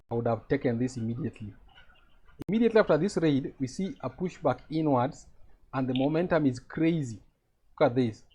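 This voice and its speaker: A man's voice: on the high side, a little mellow, highs cut off. A man with a Kenyan accent teaching a live trading lesson over a video call, explaining step by step and checking that his students follow.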